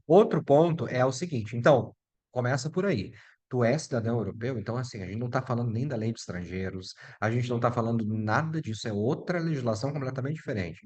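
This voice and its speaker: A man's voice: mid-range voice, fast, darkish tone. Brazilian man explaining law, chatty and direct. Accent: Brazilian Portuguese. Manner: chatty and direct, addresses viewer as "tu" and "meu filho". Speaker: immigration lawyer. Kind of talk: casual explainer video.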